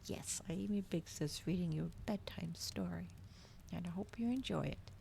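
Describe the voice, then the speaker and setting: A woman doing a soft, hushed ASMR bedtime reading on a live stream, often slipping into whispers. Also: on the low side, normal speed, creaky, a little hiss or hum. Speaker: streamer reading a book aloud.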